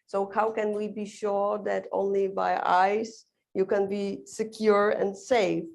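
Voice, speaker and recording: A woman's voice: mid-range voice, level tone, slow pace, creaky, a little mellow. A woman moderating a conference panel, speaking hesitantly with many fillers as she puts questions to a guest. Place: conference stage.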